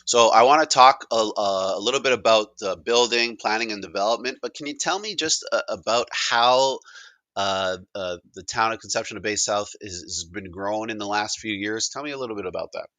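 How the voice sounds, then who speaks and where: A man asking casual questions in a halting, informal way, as if thinking aloud. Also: lowish voice, sharp tone, no echo. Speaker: caller asking questions in a product demonstration.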